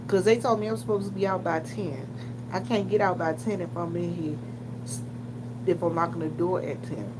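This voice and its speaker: A woman with a fairly low voice talks to her viewers in a casual, animated, colloquial storytelling style. She sounds like a vlogger recording at work, with clear humming noise behind her voice. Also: moderate pace. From Washington, D.C. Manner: animated, colloquial, acts out other people's remarks. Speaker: vlogger and gas station worker. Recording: noisy room with loud hum. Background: loud humming background noise.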